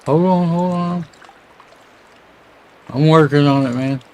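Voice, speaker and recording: Informal male voice on the higher side, colloquial, for relaxed back-and-forth stream chat. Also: slow pace. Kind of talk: casual chat on a live stream.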